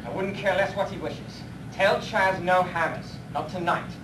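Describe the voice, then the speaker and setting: A man's voice: high voice, normal speed, natural voice. A man playing a stern, commanding vigilante leader in a live radio play. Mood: curt, irritated. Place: convention room before an audience. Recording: echoey noisy hall, far from mic.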